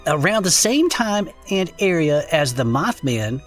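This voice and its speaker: A performer playing a folksy, excitable male podcaster in a comedic audio drama, reading strange reports aloud with incredulous asides. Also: mid-range voice, a little slow, faint music behind. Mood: amused, intrigued. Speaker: co-host reading case reports.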